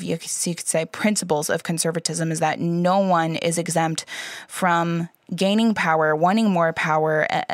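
A woman interviewer asking measured, thoughtful questions. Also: lowish voice, no room sound, clean studio sound.

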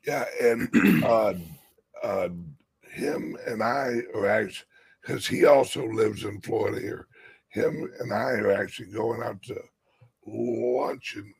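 A man with a raspy voice co-hosting a casual livestream chat, talking slowly and rambling. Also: fairly low pitch. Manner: slow, rambling, drawn-out talk. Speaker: co-host.

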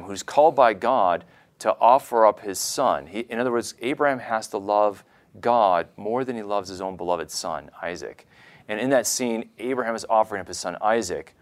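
Low-pitched man, enthusiastic halting explainer. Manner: enthusiastic explainer, halting delivery with repeated words. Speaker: podcast co-host, religious scholar. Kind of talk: conversational religious commentary.

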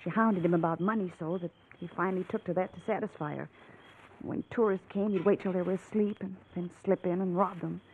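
A woman's voice: lowish voice, fairly even intonation, a little fast, phone-quality sound, close to an everyday voice. Thin, faintly noisy vintage radio sound frames an actress playing an anxious young woman in a mystery play. She is earnest and vulnerable, a frightened soul who wants a way out. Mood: matter-of-fact. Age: young adult. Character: frightened, pleading young woman desperate to escape. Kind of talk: old-time radio drama acting.

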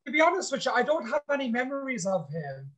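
A man's voice: extremely high pitch, sing-song, fast, a bit bright. A man, a reality show contestant, chatting in an interview in an outspoken, blunt way, swearing freely. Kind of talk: casual interview chat.

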